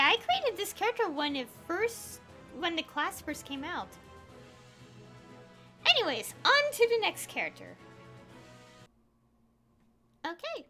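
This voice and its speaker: A woman with a high, lively voice that swings up and down as she talks. She comments casually on a video game, offhand and informal, as if chatting to viewers. Faint music plays behind her. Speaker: gaming video creator.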